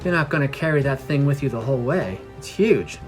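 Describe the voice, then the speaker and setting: A man's voice: mid-range voice, expressive, brisk, music in the background, lightly acted. A man voicing a scornful, blunt female adventurer in a fantasy storybook reading. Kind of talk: storybook character dialogue.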